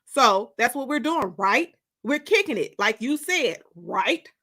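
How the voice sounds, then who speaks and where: A woman's voice: a little high, sing-song, measured pace, bright, forceful tone, exaggerated voice. A woman voicing a tall, dismissive young man who talks in a curt, taunting, colloquial way in a dramatic audiobook. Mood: defiant.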